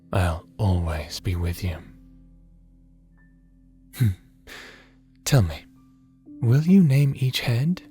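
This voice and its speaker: A man playing a gentle, fatherly creator god with a hidden weariness in a scripted audio roleplay, soft-spoken and deliberate, sometimes slipping into a whisper. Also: fairly low pitch. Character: gentle fatherly creator god, tender mentor, secretly haunted and weary.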